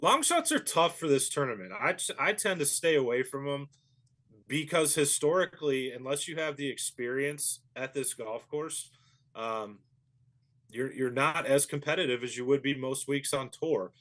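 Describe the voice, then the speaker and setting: A man's voice: medium pitch, expressive, moderate pace, a bit bright. A man, a golf professional, giving easygoing and thoughtful analysis as an interview guest. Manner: easygoing and thoughtful, with frequent fillers.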